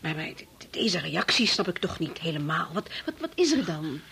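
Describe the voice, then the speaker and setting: An actress in a Dutch radio play playing a concerned, reasonable wife, questioning and soothing, with some hesitation. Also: female voice, deep voice, very animated, normal speed, gravelly, fairly bright tone, a little hiss or hum, heavily acted. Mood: soothing, reasoning. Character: concerned, reasonable wife gently challenging her husband.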